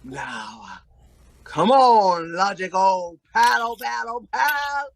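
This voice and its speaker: An actor playing a sloppy, fawning drunk husband in a comic old-time radio play, with slurred, grandiose speech. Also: male voice, high voice, lively intonation, extremely slow, vocal fry, exaggerated voice. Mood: sloppy, elated.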